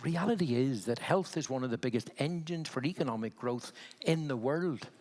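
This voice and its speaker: A man's voice: mid-range voice, animated, moderate pace, a little mellow, in a large hall. A man in his sixties with a Belfast accent, speaking candidly and wryly on a conference panel, often restarting his sentences. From Northern Ireland. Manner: candid, wry, frequent restarts.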